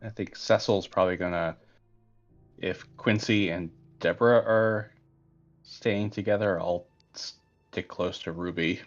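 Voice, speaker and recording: Male tabletop player, deep register, casual delivery, muffled recording over soft music. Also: fairly even intonation, a little slow. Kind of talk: casual game-table chat.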